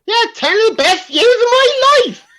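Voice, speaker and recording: Woman doing a boastful village man, very high, hugely animated, blustering, insistent, cantankerous, radio comedy. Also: unhurried, full character voice. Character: loud, boastful, cantankerous village man telling tall tales. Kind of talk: comedy radio play read online.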